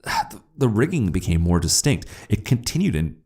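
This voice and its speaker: An unhinged, cunning killer confessing his crime in a classic horror story. The voice is a low male one with a gravelly fry. The delivery is theatrical, with dramatic swings in pitch. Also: very animated, moderate pace, exaggerated voice. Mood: uneasy, faltering. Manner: theatrical, stammering, swinging from hush to shriek. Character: unhinged murderer confessing, gleefully cunning, then frantic.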